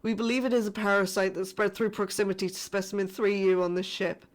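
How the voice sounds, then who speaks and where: A woman playing a frightened spaceship crew survivor sending a distress message in a scripted sci-fi horror audio drama, formal but shaken and halting. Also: mid-range voice, natural voice. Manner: formal reporting that breaks into shaken, halting, emotional delivery.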